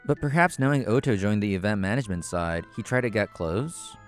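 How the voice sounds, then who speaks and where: A man with a low voice narrates a scripted story. His delivery is measured and explanatory, told as the main character himself. Soft music plays underneath. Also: expressive. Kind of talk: scripted first-person narration.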